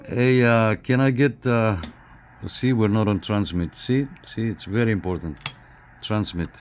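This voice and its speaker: A man giving a casual, unscripted hands-on review, speaking haltingly and often repeating his phrases. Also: lowish voice, a little hiss or hum. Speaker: radio reviewer and CB operator.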